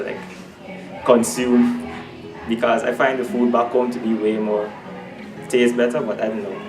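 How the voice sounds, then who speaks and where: A young man from Guyana, a student answering interview questions in a loose, rambling way and laughing often. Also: mid-range voice. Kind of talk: casual street interview. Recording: echoey, distant, music over it.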